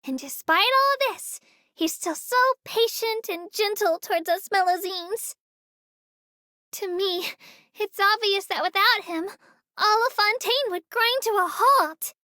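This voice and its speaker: A woman's voice: very high voice, unhurried, pushed and bright, no room sound, studio quality, full character voice. A woman voicing a small, girlish creature tour guide in a game, earnest, polite and a little hesitant as she gives her commentary. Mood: adoring. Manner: polite, earnest, slightly hesitant, self-effacing.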